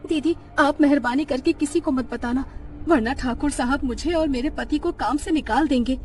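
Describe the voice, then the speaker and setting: एक महिला डरावनी कहानी में मालिक से डरने वाली, राज़ छिपाती घबराई नौकरानी का किरदार निभा रही है।. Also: female voice, high-pitched, moderate pace, a little mellow, soft background music, close to an everyday voice. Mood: pleading, fearful. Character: anxious, secretive housemaid afraid of her master. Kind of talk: dramatised horror story dialogue.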